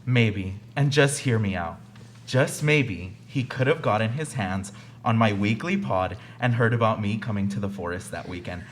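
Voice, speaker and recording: A man's voice: lowish voice, moderate pace, a little hiss or hum, close to an everyday voice. A young man acting as a self-important, aggrieved podcaster in a comedy radio play. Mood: smug. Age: young adult. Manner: pompous, aggrieved, self-promoting. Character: self-important, whiny male podcaster milking his victimhood.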